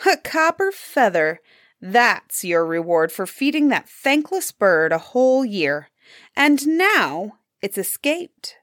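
Lady doing a naggy peasant wife from a folktale, high pitched, petulant, complains a lot, very animated. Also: slow pace, dry recording, studio quality, exaggerated voice. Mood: scornful. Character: petulant, scolding, self-pitying peasant wife.

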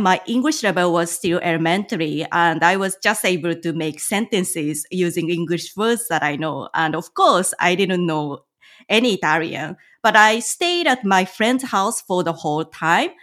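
A woman from Japan whose first language is Japanese, answering interview questions as a tour guide in careful, measured speech with hesitant pauses. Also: fairly low pitch, animated, fairly bright tone. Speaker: guest, licensed tour guide.

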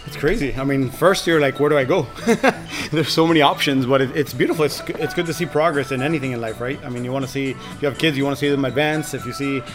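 A man's voice: mid-range voice, fast talker, hardly any pauses. A middle-aged man from Miami, a podcast guest talking casually and rambling with many restarts. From Miami, Florida. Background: music.

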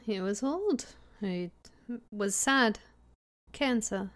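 A woman's voice: a little high, expressive, takes it slowly. A woman playing a composed country-club widow in a put-on transatlantic lilt, clipped and prim.

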